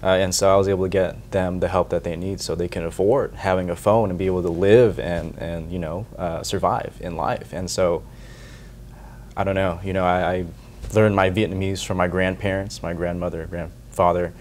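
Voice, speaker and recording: A young American man who works as a senate staffer, answering interview questions in an earnest, grateful way, often pausing with fillers. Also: deep voice, steady tone, a little hiss or hum. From United States. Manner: soft-spoken, earnest, with frequent fillers and restarts. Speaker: senate staffer for community outreach.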